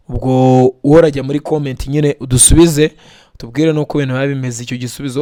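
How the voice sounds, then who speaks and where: Earnest male news presenter, clear and smooth, dry close sound. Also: a little high, a little mellow, no room sound. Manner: earnest, blessing viewers and urging comments. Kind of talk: news narration and sign-off.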